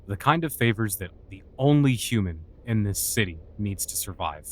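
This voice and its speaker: A man's voice: fairly low pitch, animated, normal speed. A man playing a cynical, sarcastic human outcast in a fantasy audio drama. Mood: guarded. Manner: sarcastic, mocking.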